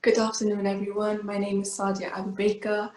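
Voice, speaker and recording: A woman's voice: medium pitch, level tone, brisk, a little mellow, reverberant. An eighteen-year-old woman, giving an earnest personal talk with many fillers and restarts. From Wales. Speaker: youth ambassador. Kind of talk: personal talk to an online event.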